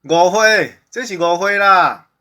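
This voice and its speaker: Taiwanese man with a slightly high voice. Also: slow pace, pushed and bright. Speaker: show host. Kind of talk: bantering radio show talk.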